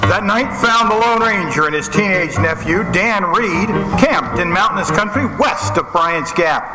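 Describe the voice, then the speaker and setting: A man as a dramatic old-time radio narrator of a western. His delivery swings widely in a high voice, in an echoey hall with music under it. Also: expressive, moderate pace. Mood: storytelling. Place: live stage in a theater.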